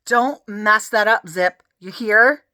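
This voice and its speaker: A woman's voice: mid-range voice, slow pace, sharp tone, no echo, studio quality. A woman voicing a cool, slangy schoolboy friend in a children's story, giving emphatic, no-nonsense warnings.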